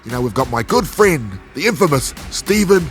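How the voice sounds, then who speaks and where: A man's voice: medium pitch, sing-song, moderate pace, faint music behind. A middle-aged man, hosting a casual interview with easy laughter and many fillers. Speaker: podcast host.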